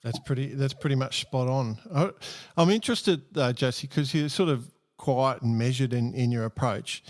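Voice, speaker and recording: A man hosts a podcast interview in a joking, cheeky tone with plenty of enthusiasm. His voice sits at an ordinary middle pitch. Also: normal speed. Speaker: podcast host.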